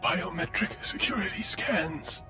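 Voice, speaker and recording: An actor playing a smug, menacing anarchist cell leader in a video game, with a grandiose, mock-courteous delivery. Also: male voice, sits high, very animated, unhurried, sharp tone, faint music behind, heavily acted. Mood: gloating.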